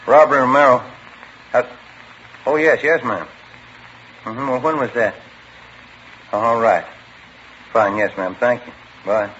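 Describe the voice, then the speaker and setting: An actor playing an easygoing police detective partner who speaks in a casual, matter-of-fact way, in 1950s radio drama style. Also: male voice, mid-range voice, steady tone, measured pace, long pauses, a bit bright, a little hiss or hum, close to an everyday voice. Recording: muffled old radio broadcast.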